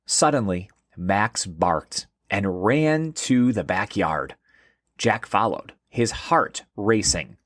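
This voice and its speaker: A man hosting and narrating a scripted children's story in an expressive storytelling manner. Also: on the low side, takes it slowly, dry recording, studio quality. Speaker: host and narrator.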